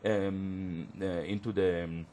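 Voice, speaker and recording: Male panel guest, Hebrew native, halting delivery full of fillers, studio setting. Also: low-pitched, little pitch movement, dark tone. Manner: halting, searching for words, many fillers. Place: community TV studio.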